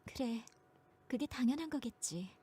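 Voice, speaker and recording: Fast-speaking, trembling, despairing young woman. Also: fairly high pitch, lively intonation, warm tone. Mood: sad, resigned. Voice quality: trembling, breathy at phrase ends. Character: despairing young woman, gentle, self-blaming, near tears. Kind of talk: dramatic visual novel voice acting.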